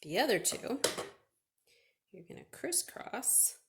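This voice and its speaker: Creaky-voiced woman teaching a craft. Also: on the high side, very animated, slow pace, very noisy. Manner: chatty, asks and answers own questions. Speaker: children's librarian leading a craft. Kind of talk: step-by-step craft demonstration for children.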